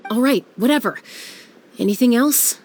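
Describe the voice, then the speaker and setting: A woman's voice: on the high side, moderate pace, a little mellow, a little hiss or hum, close to an everyday voice. Woman playing a headstrong, curt elder sister. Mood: irritated. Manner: firm, curt, resolute. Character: headstrong, proud, defiant elder sister, young adult fighter. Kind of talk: video game cutscene acting.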